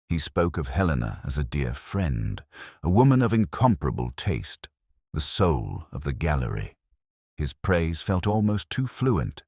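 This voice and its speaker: A very low-pitched man playing a brooding detective recounts a mystery in measured, literary phrases. His intonation moves freely up and down, and the sound is dry and close. He comes across as melancholy and observant, as in an audio drama. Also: dry recording, heavily acted. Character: elderly reflective male police detective, melancholy and observant. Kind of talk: first-person scripted mystery narration.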